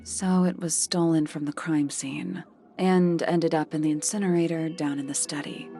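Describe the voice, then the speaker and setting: A woman playing a sharp, pensive detective in a mystery video game, speaking in short, measured lines as she works through a case. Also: sits low, fairly even intonation, faint music behind. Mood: pensive, questioning.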